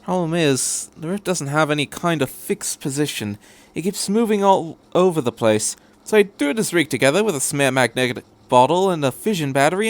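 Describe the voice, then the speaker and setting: A man's voice: fairly high pitch, pitched above the performer's own voice. A man voicing a cheerful, chatty, eccentric male time traveller who rambles breezily in a fan fiction reading.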